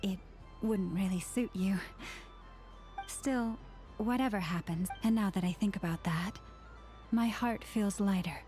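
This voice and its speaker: A woman playing a gentle, devout antlered young woman in a video game, soft-spoken, measured and earnest. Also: medium pitch, faint music behind, natural voice. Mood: sincere, wistful.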